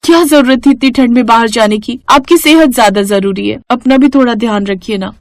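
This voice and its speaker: A woman's voice: on the high side, a little fast, dry recording, studio quality, lightly acted. A woman voicing a poor young village wife, anxious and devoted, speaking in an earnest, pleading way. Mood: worried.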